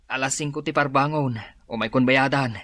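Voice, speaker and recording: Radio drama role: nervous, stammering debtor, Ilocano accent, deep female register, very dry recording. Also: fairly even intonation, normal speed, fairly bright tone, no echo. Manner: hesitant, stammering, whining. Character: shamefaced, nervous debtor, grown child hiding from creditors.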